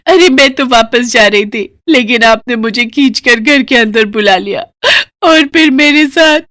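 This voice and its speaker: A woman's voice: a little high, a little slow, fairly bright tone, no echo, studio quality, pitched above the performer's own voice. A woman voicing a scheming young housemaid in a cartoon story, melodramatic and whining in her delivery. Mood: accusing, tearful. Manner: melodramatic, wheedling. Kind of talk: acted Hindi cartoon story dialogue.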